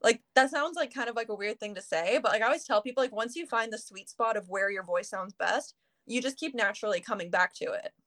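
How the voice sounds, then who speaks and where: A woman's voice: a little high, fast talker, a bit bright. A woman in her early twenties from Canada, a singer chatting casually as a guest in an interview and leaning on "like" between phrases. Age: early 20s. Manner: chatty, heavy use of "like" fillers.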